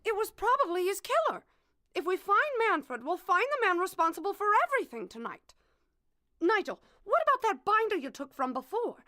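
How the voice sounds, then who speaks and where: A woman's voice: extremely high pitch, big pitch swings, brisk, bright, forceful tone, no echo, clean studio sound, full character voice. A woman playing a melodramatic, sweet-talking wife with a violent streak, theatrical, in a comedic audio drama. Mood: alarmed.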